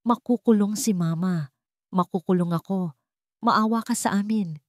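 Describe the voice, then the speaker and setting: A woman's voice: medium pitch, normal speed, dry recording, studio quality, close to an everyday voice. A woman voicing a cowardly husband who pleads and makes excuses in a cracked voice, in an audiobook drama. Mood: desperate. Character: cowardly, pleading husband, blaming his mother.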